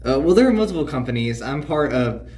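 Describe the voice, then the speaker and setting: A man's voice: medium pitch, very animated, a little fast, a little mellow, slight background noise. A teenage male podcast guest chatting casually and ramblingly, saying "like" often. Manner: rambling, heavy use of "like". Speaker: podcast guest, student actor. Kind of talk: casual chat.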